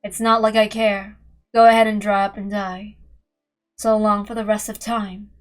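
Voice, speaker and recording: A woman's voice: medium pitch, flat delivery, normal speed, soft background music, lightly acted. A woman voicing a cruel, gloating witch in a taunting, theatrical manner. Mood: cold scorn. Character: cruel, gloating female witch with cat minions.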